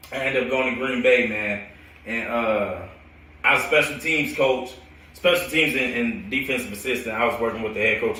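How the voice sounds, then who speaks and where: An American man who coaches football, telling stories casually and in an animated, slangy, rambling way on a live stream. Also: medium pitch. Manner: animated, slangy, laughs often. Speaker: football coach hosting a storytelling show. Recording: roomy echo, distant from the mic.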